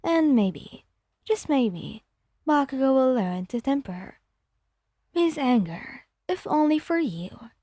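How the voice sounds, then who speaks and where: Woman, ASMR story reader, higher pitch, dark mellow tone, scripted narration, dry close mic. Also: very animated, slow, dry recording. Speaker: ASMR fan fiction reader.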